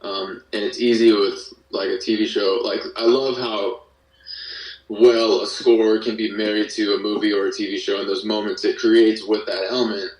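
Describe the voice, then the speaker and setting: Low-voiced man in a roomy, distant recording. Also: little pitch movement, normal speed. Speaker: interview guest, audio drama creator. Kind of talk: casual interview chat. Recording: roomy, distant mic, faint music.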